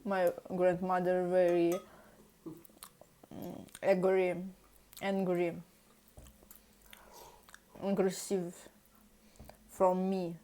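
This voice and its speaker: Woman, Russian accent, very slow, hesitant, searching for words, lots of fillers. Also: fairly low pitch, monotone, dark tone. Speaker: vlogger. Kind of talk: halting casual talk in a second language.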